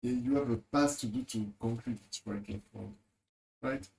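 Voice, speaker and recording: A man's voice: mid-range voice, wildly swooping pitch, normal speed, gravelly, a little mellow, a little hiss or hum. A man streaming and commenting on a debate clip, blunt and exasperated, swearing freely. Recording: roomy echo.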